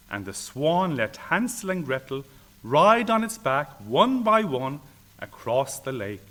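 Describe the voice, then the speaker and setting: A man reading a children's fairy tale aloud in an expressive, deliberate, theatrical way. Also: fairly high pitch.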